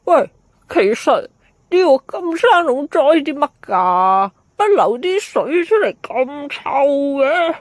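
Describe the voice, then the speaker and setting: An actress playing a flustered, bossy building security guard in a radio drama, with a nagging Hong Kong way of speaking. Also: female voice, high voice, very animated, slow, no room sound, heavily acted. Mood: disgusted, curious. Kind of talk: Cantonese radio drama acting.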